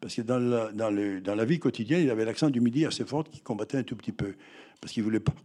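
An older film director recalling his early films in an interview, halting and full of restarts. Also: on the low side, mellow. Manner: halting, with many fillers and restarts. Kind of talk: reminiscing interview answers.

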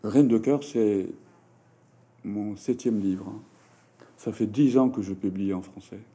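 Slow, hesitant man. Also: on the low side, warm tone, breathy edge. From Japan. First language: Japanese. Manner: hesitant, halting, with frequent fillers and restarts. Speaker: writer and French teacher, interview guest. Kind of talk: interview answers.

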